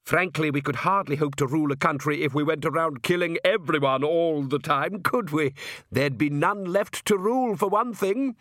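A man voicing a smug male vampire count, suave and genially measured, in an audiobook. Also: on the high side, fairly bright tone, no echo, clean studio sound, pitched above the performer's own voice, natural voice. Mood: genial menace.

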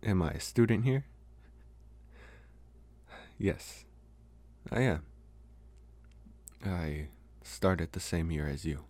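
A man playing a smitten young college vampire in an intimate, hesitant, soft-spoken ASMR roleplay. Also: low voice, expressive, exaggerated voice. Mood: amused, casual. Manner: hesitant, soft-spoken, slow with long pauses. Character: young male college vampire, smitten, calm, gently possessive. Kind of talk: ASMR roleplay, intimate one-sided dialogue.

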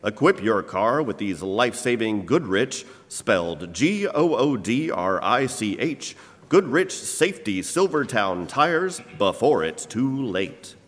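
A man's voice: mid-range voice, big pitch swings, takes it slowly, a bit bright, slight background noise. A man playing an urgent, persuasive old-time radio announcer, delivering a sponsor message with emphatic salesmanship. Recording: echoey live hall.

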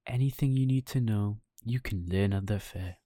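A man voicing a sly, persuasive fox who coaxes smoothly in a children's bedtime story. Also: medium pitch, normal speed, mellow, no echo, studio quality, natural voice. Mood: coaxing. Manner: persuasive, coaxing.

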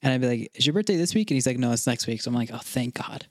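A man's voice: medium pitch, expressive, racing, dry recording. A man in his twenties co-hosting a podcast, teasing and challenging in casual, crude, sweary banter, close on the microphone. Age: 20s. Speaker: podcast co-host.

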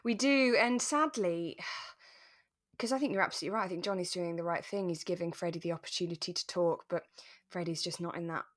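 A woman co-hosting a podcast, talking through a radio drama in a relaxed, thoughtful, explanatory way. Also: fairly low pitch, brisk. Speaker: podcast co-host.

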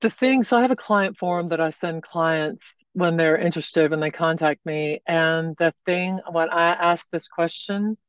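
A woman speaks as a wardrobe consultant on a podcast, unhurried and thoughtful, with pauses as she works out each point. Her voice sits fairly low. The sound is dull and dry, like a remote call. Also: clear, resonant tone, no room sound. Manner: thoughtful, measured explanations with pauses. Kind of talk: podcast interview answers.

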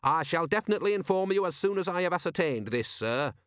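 Man performing pious male constable, raised pitch, firm, earnest, deferential, audiobook character. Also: lively intonation, fast talker, fairly bright tone, smooth, no echo, clean studio sound. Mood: earnest, certain. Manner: firm, emphatic, respectful.

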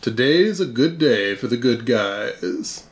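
A man's voice: mid-range voice, expressive, takes it slowly. An actor playing a dry male police boss in a parody noir radio mystery. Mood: dry, satisfied.

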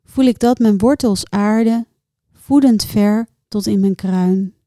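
A woman reciting her own poems in a measured, contemplative way, with deliberate pauses between short phrases. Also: mid-range voice, dark tone, no room sound, clean studio sound. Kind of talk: poetry recitation.

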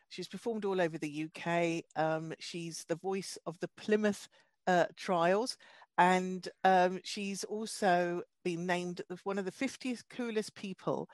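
A woman's voice: fairly low pitch, dry recording. A woman comedian co-hosting a chat show, talking chattily with easy laughter and stumbling restarts. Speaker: comedian and co-host. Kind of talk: casual chat and guest introductions.

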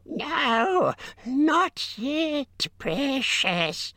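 Spiteful storybook creature, shrieking and hissing, shift+ in pitch, gravelly fry, repetitive muttering. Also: male voice, big pitch swings, pushed and bright, no echo, clean studio sound, heavily acted. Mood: shrieking, distraught. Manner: drawn-out, repetitive, muttering to himself in odd grammar. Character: wretched, spiteful, obsessive male cave creature.

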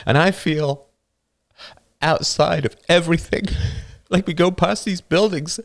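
A man voicing a grieving young teenage boy who talks haltingly, his voice climbing high and strained. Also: vocal fry. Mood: anguished.

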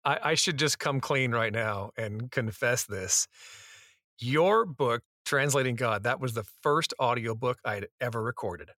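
A man's voice: mid-range voice, a little slow, sharp tone, dry recording, studio quality. A man, a radio host and voice actor, chatting as a guest in a jokey, self-deprecating way on a shared mic. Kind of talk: casual interview chat. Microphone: shared single mic.

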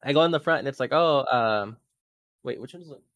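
A man's voice: mid-range voice, dry recording. A man telling a story in casual chat on a podcast, acting out the voices he quotes. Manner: fast, animated, acts out quoted voices. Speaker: podcast co-host.

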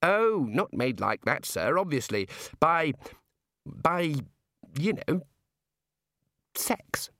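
A gloomy young male wizard, weary and exasperated, who sighs his way through awkward explanations, as a man voices him in a storybook reading. The delivery is lively in pitch and sounds close and dry. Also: unhurried, no room sound.

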